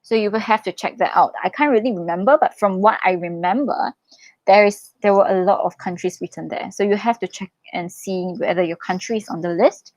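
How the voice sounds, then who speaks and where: A young adult woman hosting a casual live Q&A, reading out questions and giving chatty, expressive, reassuring advice. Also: medium pitch, fairly quick, no echo. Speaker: livestream host, international student in Korea.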